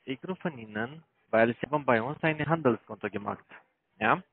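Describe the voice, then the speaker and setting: A man's voice: medium pitch, moderate pace, slightly rough. Phone salesman with a foreign accent. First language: not German. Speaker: call-centre sales caller. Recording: narrow tinny phone line.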